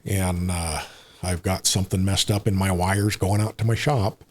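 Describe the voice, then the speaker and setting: Older american guy in his 60s, deep and kinda husky, just rambling along in a laid back interview. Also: fairly even intonation, normal speed. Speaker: podcast guest. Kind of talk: casual conversational interview.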